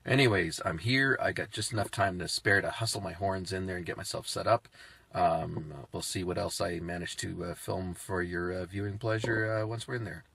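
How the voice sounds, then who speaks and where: A man's voice: sits low, steady tone, normal speed, creaky, fairly bright tone, slightly rough. A man in his fifties filming a casual vlog from his car and a rehearsal hall, talking with lots of filler words. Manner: chatty, many "uh" fillers. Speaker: woodwind doubler vlogger. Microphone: handheld camera at arm's length.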